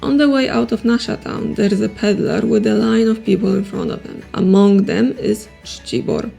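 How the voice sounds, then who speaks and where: Woman narrator, heavy vocal fry, dark husky tone, careful explainer delivery over faint music. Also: mid-range voice, steady tone. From Poland. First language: Polish. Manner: careful, explanatory, slows down on foreign words. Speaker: game video creator.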